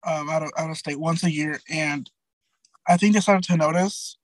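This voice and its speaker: A man's voice: fairly even intonation, normal speed, no room sound, poor audio. A young man around twenty, a guest in a casual interview, talking in a chatty way full of "like" and "um" with many restarts. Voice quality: light high-pitched voice.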